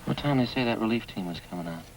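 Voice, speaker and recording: Man acting veteran cop partner, American, gravelly, casual grumbler, fast talker, faintly hissy old radio recording. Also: fairly low pitch, expressive, lightly acted. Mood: weary, grumbling. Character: grumbling, good-natured veteran police detective partner. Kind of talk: 1940s-50s radio drama acting.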